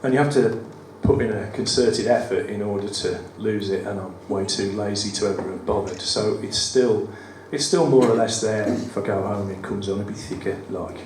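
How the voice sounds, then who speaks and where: A man with a West Midlands accent and a croaky voice, reading his poems at a live event with wry, self-deprecating banter. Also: on the low side, fairly even intonation, normal speed, few pauses, darkish tone, recorded from a distance. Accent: West Midlands English. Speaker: performance poet. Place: studio theatre at a live cabaret event. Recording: roomy live venue, mic from afar, audible room noise. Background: audience ambience.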